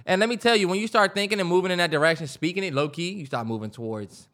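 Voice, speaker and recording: A man's voice: sits high, very fast, a bit bright. An American man co-hosting a podcast, chatting casually with slangy, profane, emphatic phrasing. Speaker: podcast co-host. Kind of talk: casual chat.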